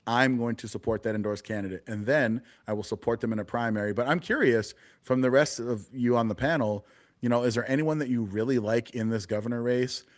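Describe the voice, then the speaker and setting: A man co-hosting a casual political podcast panel, talking in a relaxed, chatty way with plenty of fillers. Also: mid-range voice, brisk. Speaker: podcast co-host, party committeeman.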